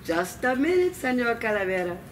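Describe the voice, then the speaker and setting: A spry old storybook grandmother, played by a woman reading aloud, cheerfully polite and full of delay tactics. Her speech is drawn out, sing-song and swooping, heard from a little way off over clear background noise. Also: fairly high pitch, sing-song, brisk, far from the mic. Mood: cheerful stalling.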